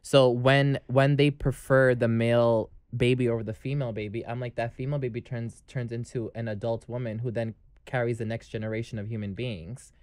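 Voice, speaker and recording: Chatty male podcast co-host, clear voice. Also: medium pitch, flat delivery, fairly quick, darkish tone, dry recording. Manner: chatty, asks long friendly questions. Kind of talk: casual interview conversation. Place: small podcast studio with foam panels. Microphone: studio microphone on boom arm.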